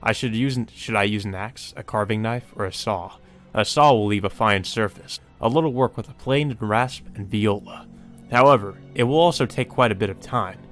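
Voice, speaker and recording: A man voicing a cold, methodical killer narrating calmly and deliberately in a visual novel. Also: lowish voice, soft background music, lightly acted. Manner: calm, deliberate. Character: cold methodical male killer musing over his ritual.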